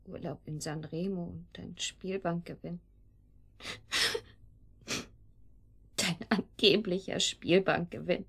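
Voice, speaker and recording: A woman playing a betrayed, pleading yet defiant lover in a radio drama, with breathy, emotional, fragmented delivery. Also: on the low side, fairly even intonation, slow pace, pauses often, fairly bright tone, full character voice. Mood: wistful, bitter. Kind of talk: German radio drama acting.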